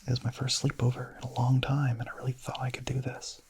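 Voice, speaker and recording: A man playing a shy, gentle young vampire in a whispered ASMR roleplay, murmuring softly, hesitantly and intimately in a breathy voice. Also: mid-range voice, monotone, quick pace. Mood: quiet confessing. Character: shy, gentle young male vampire, smitten misfit confessing.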